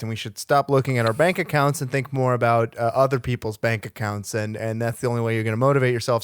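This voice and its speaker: A man playing a money-minded, self-promoting talent agent in improvised comedy, with halting sales patter. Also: medium pitch, clear, resonant tone, natural voice. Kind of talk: improvised comedy character talk.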